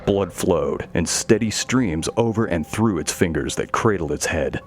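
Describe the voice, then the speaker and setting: A man narrating a scripted horror story in a measured, dramatic way. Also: deep voice, lively intonation.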